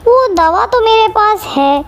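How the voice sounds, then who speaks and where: A woman's voice: extremely high pitch, expressive, slow, heavily acted. A woman voicing a sly, wicked male crow in a children's cartoon, conniving and familiar. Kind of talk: Hindi children's cartoon acting.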